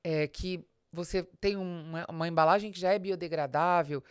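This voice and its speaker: A Brazilian woman with a fairly low voice, recorded close and dry. She explains business ideas informally but emphatically, like a podcast host teaching her audience, and addresses the listener directly. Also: fairly even intonation, normal speed, clear, resonant tone, dry recording. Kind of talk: informal business advice monologue.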